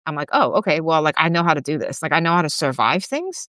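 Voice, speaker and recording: A woman's voice: deep voice, big pitch swings, quick pace, a bit bright, no echo, studio quality. A woman in her forties, from Taiwan, talking candidly and upbeat in an interview, with lots of "like" and small laughs. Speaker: guest, gym CEO. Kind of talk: casual interview chat.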